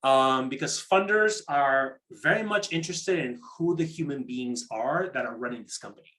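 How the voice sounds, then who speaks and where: A man's voice: medium pitch, normal speed, bright, forceful tone. A man presenting a workshop in a conversational, explanatory way, often repeating words as he restarts and checking in with short tag questions. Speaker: program manager presenting a workshop. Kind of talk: interactive online workshop talk on pitching.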